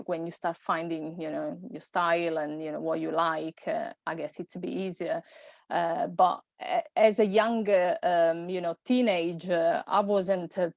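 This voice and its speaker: A woman's voice: on the low side, gravelly, darkish tone, dry recording, studio quality. A woman in her forties from Italy with an Italian accent, a podcast guest telling her story with many fillers and restarts. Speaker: guest, personal stylist. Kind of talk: podcast conversation.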